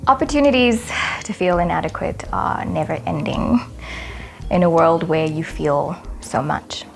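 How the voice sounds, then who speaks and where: A woman reflecting candidly as an interviewee, in a measured way. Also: low voice, vocal fry, soft background music. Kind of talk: personal reflection to camera.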